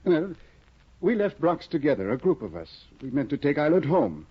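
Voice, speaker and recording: An actor playing an excitable, fussy young scholar husband in a 1950 radio drama, flustered and exclaiming, on a dull old broadcast recording. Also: male voice, a little high, normal speed, breathy edge. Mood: fretful. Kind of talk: 1950s radio drama acting.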